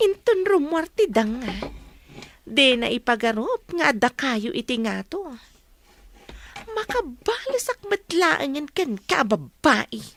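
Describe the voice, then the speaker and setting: High-pitched scheming mischievous girl voiced by a woman. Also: big pitch swings, takes it slowly, long pauses, fairly bright tone, a little hiss or hum, full character voice. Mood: gleeful scheming. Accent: Ilocano. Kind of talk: Ilocano comedy radio drama acting.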